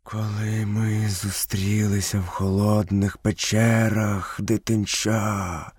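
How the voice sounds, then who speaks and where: A man voicing a huge, ancient, cold-blooded python with slow, drawn-out, measured speech in a storybook reading. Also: on the low side, flat delivery, a little mellow, husky, no room sound, clean studio sound. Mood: solemn, knowing.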